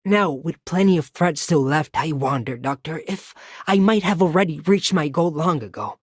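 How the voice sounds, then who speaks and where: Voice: medium pitch, moderate pace, slightly rough, dry recording, studio quality, natural voice. An actor voicing a humble, thoughtful young artisan in a scripted video game line. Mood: wistful.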